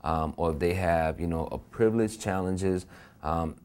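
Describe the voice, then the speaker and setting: A man from the program staff describing it in a measured, explanatory way. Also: sits low, a little mellow, smooth.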